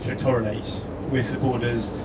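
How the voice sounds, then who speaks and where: A man's voice: medium pitch, normal speed. A man from Scotland giving a prepared, persuasive address on stage in an earnest, rhetorical way. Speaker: guest speaker. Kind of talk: reading a prepared persuasive letter aloud on stage. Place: live stage event indoors. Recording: echoey room, distant mic, steady background noise.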